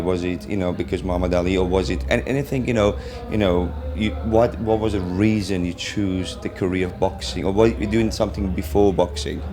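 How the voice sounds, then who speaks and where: A man hosting a live interview at a trade fair stand, effusively polite, with halting, loosely phrased questions. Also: sits low, a little mellow. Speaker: interviewer for a brand's web TV.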